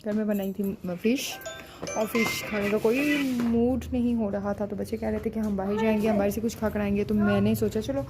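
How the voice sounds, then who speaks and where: A woman's voice: mid-range voice, fairly even intonation, normal speed, over music. A woman in her thirties with a Pakistani Urdu accent, chatting casually in a home vlog and talking to her children. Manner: chatty, scolds and coaxes children. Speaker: vlogger and mother. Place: home and restaurant.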